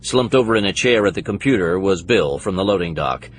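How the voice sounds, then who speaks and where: A man's voice: deep voice, lively intonation, a little fast, a little hiss or hum. A man reading scripted narration for an action thriller audiobook.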